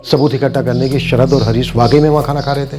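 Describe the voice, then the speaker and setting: An actor playing a serious, deliberate senior police inspector in a TV crime drama. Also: male voice, mid-range voice, fairly even intonation, over music, close to an everyday voice. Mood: firm. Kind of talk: TV crime drama acting and narration.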